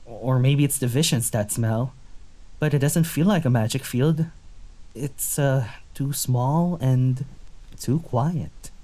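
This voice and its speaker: A woman's voice: a bass voice, slow pace, dark tone, full character voice. A woman acting a curious, easygoing young traveler in an audio drama, musing conversationally with some hesitation. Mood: musing, wonder.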